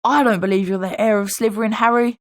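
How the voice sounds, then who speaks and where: A woman's voice: mid-range voice, steady tone, quick pace, close to an everyday voice. A woman voicing a chatty, friendly young British schoolboy wizard in scripted video game lines.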